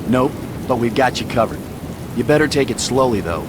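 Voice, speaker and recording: An actor playing a wary, steady policeman, terse and cautioning in dramatic game dialogue. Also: mid-range voice, brisk, close to an everyday voice. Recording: loud storm noise over dialogue.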